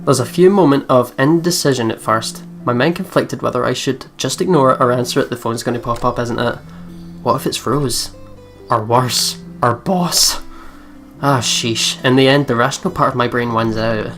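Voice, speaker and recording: A woman's voice: rumbling low, normal speed. A young woman reading game text aloud with casual let's play commentary. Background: soft game music.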